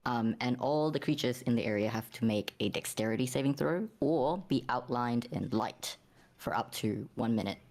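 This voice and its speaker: Halting man playing a tabletop game. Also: mid-range voice, a little mellow. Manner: halting, thinking aloud. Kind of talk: casual explaining during a tabletop game.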